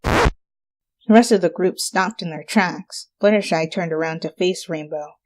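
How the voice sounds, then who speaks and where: A woman's voice: lowish voice, unhurried, slight background noise, low-quality recording. A woman giving a scripted dramatic reading of a story as its narrator.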